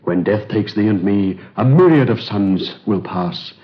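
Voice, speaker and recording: An actor playing a devoted Egyptian slave who speaks solemnly and earnestly in a 1930s radio drama. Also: male voice, on the low side, lively intonation, unhurried. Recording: dull old radio recording.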